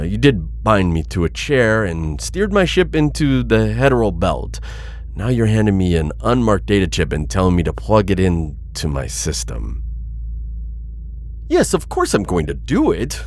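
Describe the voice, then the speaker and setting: A man voicing a cautious but principled insectoid alien bounty hunter in an ASMR roleplay, with husky, halting, formally worded speech. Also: deep voice, a little mellow. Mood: wary. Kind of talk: ASMR audio roleplay addressed to listener.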